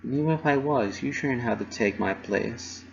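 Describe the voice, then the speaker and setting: Man reading as quiet, earnest young pony mare. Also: medium pitch, normal speed, gravelly, dull audio, slight background noise, close to an everyday voice. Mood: quietly reassuring. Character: loyal, guilt-ridden young female pegasus pony.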